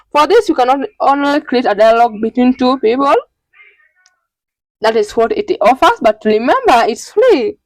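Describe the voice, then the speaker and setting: A woman hosting a step-by-step software tutorial, talking instructionally and often checking in with a quick "okay". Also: sits high, no room sound, rough recording. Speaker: tutorial host.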